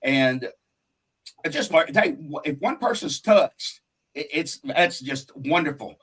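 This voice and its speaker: A man's voice: on the high side, animated, takes it slowly, fairly bright tone, breathy edge. A man hosting a faith-based talk show in a folksy, talkative, rambling and earnest way. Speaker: radio show host.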